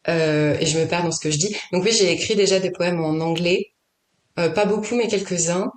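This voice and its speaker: A woman poet in relaxed conversation, with a slightly low voice. She thinks out loud, hedging and restarting her sentences. The recording carries a noticeable room echo. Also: fairly quick. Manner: thinks aloud, hesitant, many restarts and fillers. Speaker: poet and author, podcast guest. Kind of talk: casual conversation.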